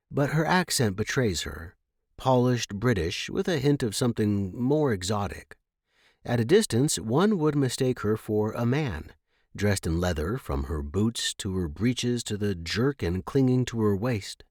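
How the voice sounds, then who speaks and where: A man narrating a story as an earnest young Victorian gentleman biologist, reading his journal in a measured, formal way. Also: mid-range voice, no room sound, studio quality.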